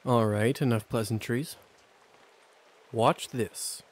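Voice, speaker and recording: An actor playing a suave, teasing aristocratic vampire officer in a video game, smooth and condescending. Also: male voice, mid-range voice, steady tone, takes it slowly, very noisy, close to an everyday voice. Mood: smug, calm. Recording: game music under the voice.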